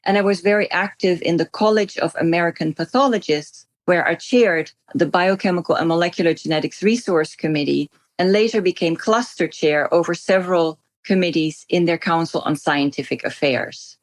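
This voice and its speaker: A middle-aged woman from the Netherlands, a native Dutch speaker, answering interview questions as a physician guest in articulate, measured phrases. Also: lowish voice, no echo.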